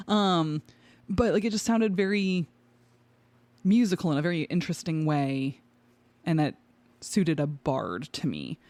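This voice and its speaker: A woman's voice: deep voice, expressive, normal speed, warm tone, no room sound. A woman telling personal stories in casual conversation as a podcast guest, acting out bits of her own thoughts as she goes.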